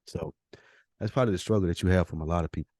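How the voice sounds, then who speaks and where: Slangy, lowish-voiced man, very dry sound. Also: racing, a little mellow, no room sound. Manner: slangy, profane, reflective. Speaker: podcast co-host. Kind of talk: casual chat.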